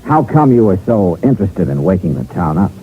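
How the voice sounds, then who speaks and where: An unflappable investigator, talks in a dry, wry way with lively inflection, like classic detective radio. The recording is thin and tinny, with prominent music in the mix. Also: male voice, sits low, fairly quick. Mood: probing. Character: wry, unflappable male insurance investigator narrating his case. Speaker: lead and narrator. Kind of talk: 1950s radio detective drama, narration and dialogue. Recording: tinny band-limited old radio recording, music behind.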